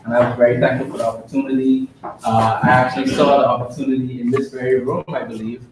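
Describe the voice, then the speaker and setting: A man from the Bahamas with a Bahamian accent, speaking in a measured, halting way at a business meeting. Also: medium pitch, flat delivery. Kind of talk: testimonial talk at a business meeting. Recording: echoey hall from far off, hissy.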